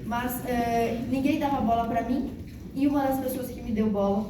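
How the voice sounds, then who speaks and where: A woman's voice: fairly high pitch, steady tone, normal speed. A woman from Santa Catarina with a rural Santa Catarina accent, a former state deputy giving a speech at a public event, thanking people and joking with the audience. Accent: rural Santa Catarina Portuguese. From Santa Catarina, Brazil. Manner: warm joking asides, grateful acknowledgments. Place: large council hall with audience. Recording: distant mic, echoey hall, noisy crowd.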